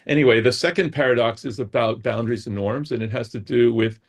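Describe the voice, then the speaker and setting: Gravelly, husky-voiced man explaining ideas. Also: medium pitch, fast talker. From United States. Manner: explains with frequent "right?" check-ins. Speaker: guest expert. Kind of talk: explanatory talk-show conversation.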